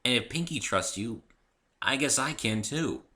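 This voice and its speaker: A man's voice: mid-range voice, animated, measured pace, pushed and bright, pitched above the performer's own voice. A man voicing a brash, sporty, boyish female cartoon pegasus with a blunt, cocky manner in a story reading. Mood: wary, relenting.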